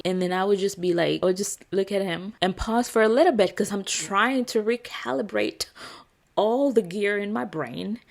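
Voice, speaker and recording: A woman's voice: mid-range voice, gravelly. A young woman from the Philippines with a Filipino accent, a Tagalog native speaker, chatting unscripted to camera in a rambling, restarting way. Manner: chatty, restarts and "like" fillers, laughs often. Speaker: vlogger. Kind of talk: casual unscripted chat to camera.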